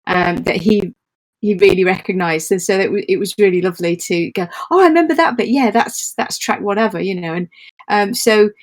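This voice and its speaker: A woman's voice: mid-range voice, a little fast, rough recording. A woman chatting casually and enthusiastically as an interview guest. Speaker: guest, audio play creator.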